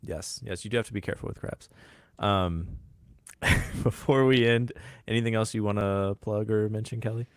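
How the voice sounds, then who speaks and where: Man, podcast host, low voice, relaxed, dry mic. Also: normal speed, clean tone, no echo. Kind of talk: casual chat.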